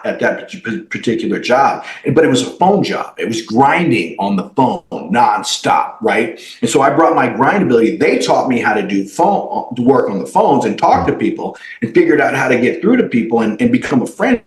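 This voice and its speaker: A man, a company CEO, telling his life story as a podcast guest in an animated way, with many fillers and restarts. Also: medium pitch, moderate pace, hardly any pauses. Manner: animated, acts out quoted dialogue, frequent fillers and restarts. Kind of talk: personal storytelling in a podcast interview. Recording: roomy echo.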